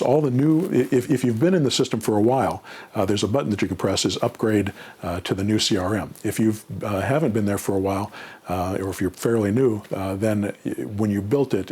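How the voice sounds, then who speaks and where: A man's voice: lowish voice, darkish tone, husky. A man giving casual, unscripted advice as a mentor answering questions, in a plainspoken, conversational way.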